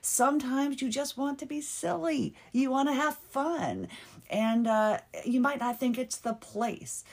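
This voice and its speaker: A woman hosting her own podcast, talking earnestly and haltingly, often restarting and repeating words. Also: high voice, expressive. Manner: earnest and heartfelt, with bursts of laughter. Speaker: podcast host. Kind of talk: casual solo podcast monologue.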